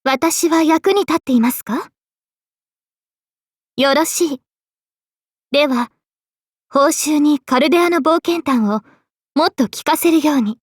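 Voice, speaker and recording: A woman voicing a gentle, dignified young female knight in a game, speaking politely and with composure. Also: sits high, no room sound, studio quality. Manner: polite, composed, formal speech. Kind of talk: scripted game character voice lines.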